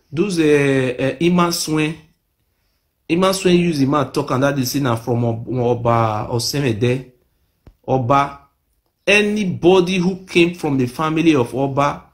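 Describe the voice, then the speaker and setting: A middle-aged Nigerian man with a Nigerian accent, speaking emphatically to a phone camera in a small room, repeating his claims insistently. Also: a little high. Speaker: self-made video speaker. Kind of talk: impassioned monologue to camera. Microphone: phone or webcam close to face.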